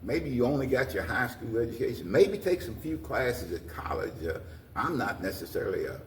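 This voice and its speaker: A man's voice: mid-range voice, very animated, a little fast. An older American man answering interview questions in a folksy, repetitive way, urging people on in plain words. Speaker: guest, community organization president. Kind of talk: interview talk, plain-spoken encouragement. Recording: roomy, distant mic, faint noise.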